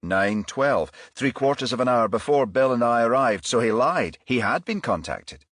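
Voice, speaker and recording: A man voicing a brisk, irritable detective in charge, clipped and commanding, in a crime audiobook. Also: mid-range voice, animated, a bit bright, no echo, studio quality, voice pushed up. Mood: purposeful.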